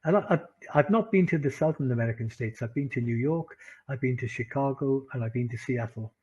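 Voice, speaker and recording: A man with a Welsh accent teaching an online English class, animated, laughing often and repeating phrases for emphasis. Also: medium pitch, fairly quick, breathy edge, lo-fi sound. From Wales.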